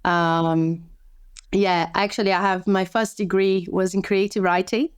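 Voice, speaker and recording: A woman's voice: mid-range voice, flat delivery, unhurried, clean tone, dry recording, studio quality. A woman from Brazil, a podcast guest and sleep coach, chatting casually, often restarting her sentences and laughing easily. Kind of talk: casual interview chat.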